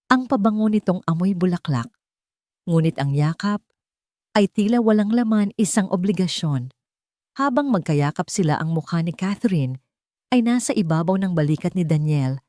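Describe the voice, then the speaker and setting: A Filipino woman narrates a dramatic audio story in a slightly low voice. The recording is dry and close, with very little room sound. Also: warm tone, no room sound.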